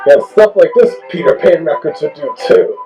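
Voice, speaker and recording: A man's voice: high voice, wildly swooping pitch, moderate pace, highs cut off, over music. A man giving casual, fault-finding commentary as a host reviewing a recording.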